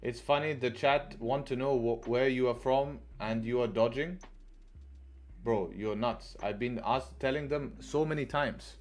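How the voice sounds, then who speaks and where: A man's voice: mid-range voice, unhurried. A man from England hosting a live trading stream, chatting casually, repeating phrases and answering questions from chat. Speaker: livestream trading host.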